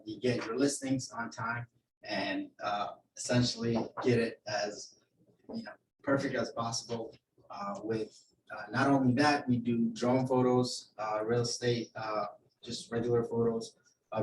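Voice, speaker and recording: Male guest, from Ethiopia, hesitant sales pitch, distant echoey room mic, faint hum. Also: fairly even intonation, unhurried. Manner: hesitant, many fillers. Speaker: guest photographer. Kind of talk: informal self-introduction and pitch. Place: office meeting room. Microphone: room camera mic from a distance.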